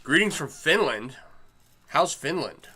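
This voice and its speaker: A man's voice: on the high side, sing-song, slow, sharp tone, breathy edge. A middle-aged man chatting casually on a livestream while he works on chainmail, greeting and answering his viewers. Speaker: chainmail maker streaming live.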